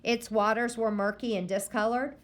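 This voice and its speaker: A woman reading a novel aloud to listeners, pausing often to ask them questions about the story. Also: a little high, fairly even intonation. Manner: slow, deliberate, stopping to quiz listeners.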